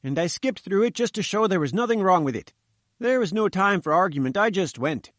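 A man's voice: high voice, lively intonation, brisk, fairly bright tone, dry recording, clean studio sound, voice pushed up. A man voicing a fat little male reporter who talks in a plaintive, sputtering, cheeky way. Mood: pleading, cheeky.

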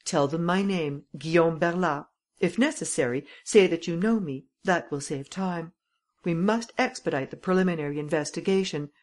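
A woman voicing a cool, cunning gentleman thief who gives brisk, commanding instructions. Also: fairly low pitch, natural voice.

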